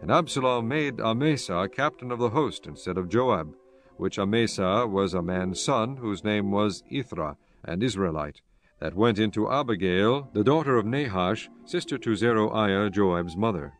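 A man narrating scripture from a script in a measured, solemn storytelling manner. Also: lowish voice, animated. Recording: faint music behind the voice.

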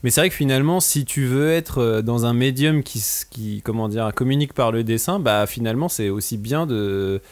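A man's voice: mid-range voice, normal speed, hardly any pauses. A man co-hosting a podcast, talking in long, rambling stretches full of fillers. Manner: rambling, chatty, lots of "tu vois". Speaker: podcast co-host. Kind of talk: casual podcast discussion.

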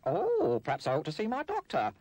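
A man's voice: fairly high pitch, huge pitch swings, brisk, noisy background. A man playing a complacent, bumbling motorist turned dinosaur, musing in a self-satisfied way, in an old cartoon information film. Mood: puzzled musing. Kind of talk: 1960s cartoon public information film acting.

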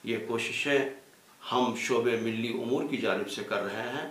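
Older man in his 60s, formal appeal, really slow and measured, roomy echo. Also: mid-range voice, a bit bright. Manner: deliberate, phrase-by-phrase delivery. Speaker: representative of a religious organisation. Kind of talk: formal spoken announcement and appeal. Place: small indoor studio with green screen. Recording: echoey room.

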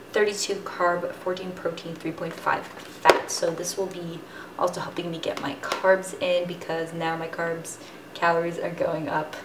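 A woman's voice: lowish voice, background noise. Chatty young woman in an echoey kitchen. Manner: chatty, explaining step by step. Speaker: fitness vlogger. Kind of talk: casual cooking vlog. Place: home kitchen, indoors. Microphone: camera on the counter. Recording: echoey kitchen with music underneath. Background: background music.

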